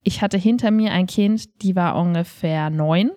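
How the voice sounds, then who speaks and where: A woman chatting casually as a podcast co-host, telling stories in an animated way and slipping into quoted dialogue. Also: fairly low pitch, moderate pace. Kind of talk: casual conversational storytelling.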